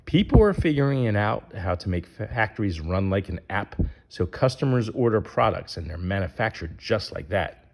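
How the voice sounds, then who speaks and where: A man's voice: lowish voice, big pitch swings, normal speed, creaky. A man reading a rhyming children's picture book aloud as its narrator.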